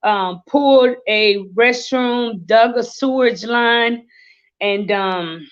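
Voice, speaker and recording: A woman in her thirties, born in Haiti, slowly and earnestly telling her life story as a guest, with many fillers. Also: a little high, clean tone. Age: 30s. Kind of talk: livestream interview, life story. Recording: lo-fi livestream audio.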